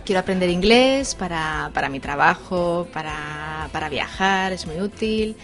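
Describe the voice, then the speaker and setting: A woman answering casting questions and telling a travel story in a relaxed way, indoors in an office hallway. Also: fairly low pitch, unhurried, over loud music. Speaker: casting applicant. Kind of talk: casual interview answers.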